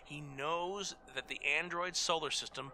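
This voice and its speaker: An actor playing a brash, excitable male sidekick in an audio drama, geeky and wisecracking. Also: a little high, measured pace, a little hiss or hum, full character voice. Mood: enthusiastic, flippant.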